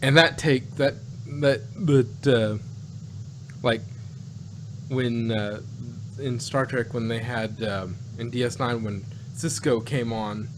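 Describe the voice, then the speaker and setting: A man in casual, rambling fan discussion as a review co-host, halting and repeating himself as he circles back mid-sentence. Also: mid-range voice, steady tone. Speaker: podcast co-host reviewing audio drama. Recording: clearly noisy, humming background.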